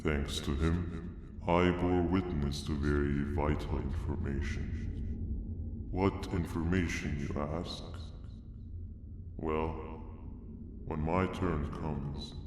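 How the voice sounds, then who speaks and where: An actor playing a menacing, calculating monster villain in a video game, speaking deliberately with a taunting, theatrical manner. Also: male voice, extremely low pitch, fairly even intonation. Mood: sinister, gloating. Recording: echoey cavernous reverb with background noise.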